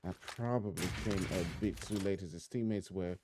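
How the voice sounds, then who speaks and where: Male game caster, lowish voice, live stream, loud gunfire under the talk. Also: moderate pace, noisy background. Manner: runs on in long commentary phrases, chatty asides to viewers. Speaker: esports caster. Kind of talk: live play-by-play commentary. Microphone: home streaming mic. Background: game gunfire and clicks.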